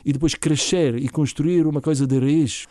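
A middle-aged man from Bulgaria speaking with a Bulgarian accent, recounting his story in an interview in a halting way, with restarts. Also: fairly high pitch, fairly even intonation, mellow, dry recording. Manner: halting, with frequent restarts and fillers. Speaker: interview guest, violinist and orchestra founder.

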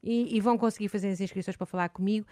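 A middle-aged woman with a European Portuguese accent hosts a phone-in show. She is animated and effusive, cheering her caller on. Her voice sits mid-pitch and sounds close and dry. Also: very fast, no room sound. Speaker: host.

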